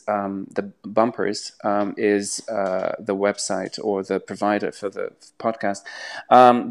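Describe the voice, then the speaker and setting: A man hosting a casual podcast, talking hesitantly with many pauses and restarts. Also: on the low side, gravelly. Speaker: podcast host.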